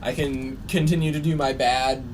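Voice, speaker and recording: A man's voice: medium pitch, steady tone, moderate pace. Dry-witted man on a noisy, distant mic. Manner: dry, short replies with game commentary. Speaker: co-host playing the game. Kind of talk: casual chat while streaming a game. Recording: distant mic with loud noise.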